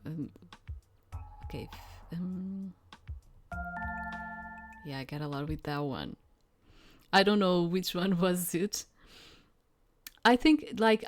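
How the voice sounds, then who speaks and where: Low-voiced woman rambling through a game. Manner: rambling, halting, repeats herself. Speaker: streamer playing a visual novel demo. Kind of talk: casual playthrough commentary, reading game text aloud.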